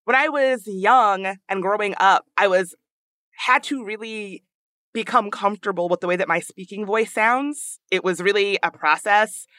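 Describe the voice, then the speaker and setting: A woman with a high voice, hosting a podcast in casual, earnest discussion, thinking aloud with frequent restarts. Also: normal speed, fairly bright tone, no room sound, clean studio sound. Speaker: podcast host.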